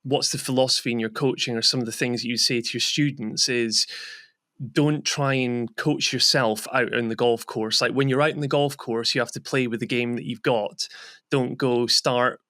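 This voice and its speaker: A man's voice: medium pitch, fairly even intonation, moderate pace, pushed and bright, no echo, studio quality. A man hosting a podcast, asking long, enthusiastic questions that wander and restart. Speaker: podcast host. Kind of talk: casual podcast interview.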